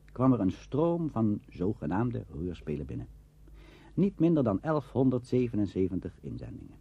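A man's voice: mid-range voice, mellow, husky. A man with a Netherlands Dutch accent reading scripted narration for a documentary. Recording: dull, lo-fi radio recording.

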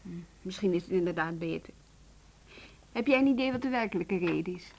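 An actress playing a wry, teasing music teacher in a Dutch radio drama. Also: female voice, lowish voice, expressive, a little fast, vocal fry, a little mellow, slight background noise. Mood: indignant, resigned.

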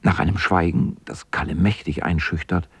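A man with a husky voice narrating a scripted children's story as the narrator of a radio drama. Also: sits low, fairly even intonation, normal speed, dry recording.

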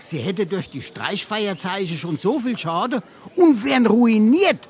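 A man playing a cheeky, smooth-talking penniless sponger in Hessian dialect in a stage comedy. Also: high-pitched, animated, unhurried, heavily acted. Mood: mock-earnest. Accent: Hessian, Darmstadt dialect.

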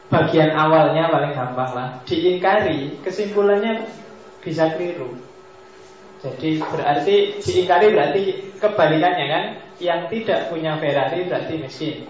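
A man's voice: fairly high pitch, a little hiss or hum. Joking man lecturing in an echoey hall. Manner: joking, mixes Javanese asides. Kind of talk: casual philosophy lecture. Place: large mosque hall. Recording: echoey hall, dull lo-fi sound.

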